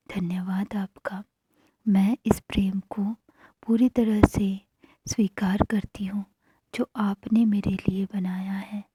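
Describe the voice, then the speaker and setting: A woman narrating guided meditation affirmations in a hushed manner, pausing between short phrases. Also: medium pitch, slightly rough, no room sound.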